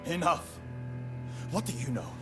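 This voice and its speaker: An actor playing a fervent young samurai rebel haunted by grief, earnest and intense, in a video game cutscene. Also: male voice, on the high side, expressive, a little slow, over music. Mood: anguished, bitter.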